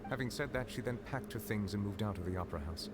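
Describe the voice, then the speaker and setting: An actor playing a composed, formal judge and dragon in a video game, speaking in a measured, solemn way. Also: male voice, on the low side, background noise, lightly acted. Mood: grave. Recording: game audio under loud music.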